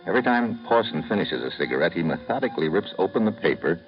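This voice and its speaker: A hard-boiled secret agent with a very deep voice. He talks in a clipped, wry, matter-of-fact way. The recording is a dull vintage radio broadcast with faint music under it. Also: very animated, fast. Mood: narrating. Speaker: spy narrating his own case. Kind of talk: 1950s radio drama acting.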